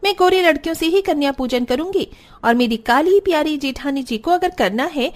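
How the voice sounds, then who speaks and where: A woman's voice: high-pitched, lively intonation, fairly quick. A woman voicing a spiteful, haughty young sister-in-law in a cartoon story, bossy and scornful. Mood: demanding. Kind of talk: Hindi cartoon story dialogue.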